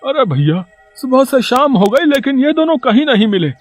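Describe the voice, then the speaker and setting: A man's voice: very high voice, sing-song, moderate pace, soft background music, full character voice. A man voicing a brave, teasing villager with a rustic, colloquial manner in a cartoon story. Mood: teasing, practical. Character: high-voiced, brave, teasing male villager.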